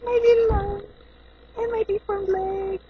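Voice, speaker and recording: Woman playing a giggly deformed plushie boy, very high and slow, muffled home-camcorder sound. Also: little pitch movement, noisy background. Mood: silly. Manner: giggling, playful and hammy. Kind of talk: home video play-acting. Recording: dull lo-fi camcorder audio.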